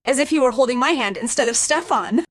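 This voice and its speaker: A woman acts a distraught figure in a crime audio drama. She is high-strung and horrified, her pitch leaping around. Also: lively intonation, quick pace, no room sound. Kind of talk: crime radio play acting.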